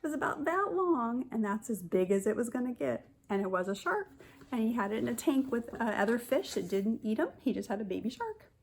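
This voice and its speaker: A woman kindergarten teacher reads a picture book aloud to young children, pausing to explain and point things out in simple words. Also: on the high side, big pitch swings, slight background noise.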